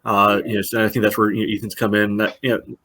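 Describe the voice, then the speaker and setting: A man with a lowish, very even voice gives casual sports analysis on a podcast. His phrasing is loose and padded with filler. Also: quick pace. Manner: loose phrasing with frequent "you know". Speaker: podcast panelist.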